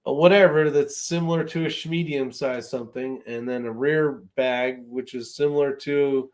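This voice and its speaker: A man's voice: mid-range voice, steady tone, normal speed. A man hosting a casual live-stream chat, talking in an informal, loose and rambling way. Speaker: host of a shooting live chat show.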